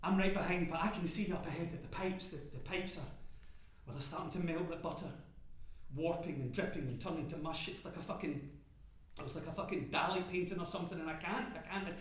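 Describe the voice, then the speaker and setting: An actor playing a small, scared oil rig worker in a dramatic monologue, agitated, stammering and sweary. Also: male voice, a little high, brisk, natural voice. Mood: awed, horrified. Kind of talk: dramatic first-person stage monologue. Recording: very echoey room.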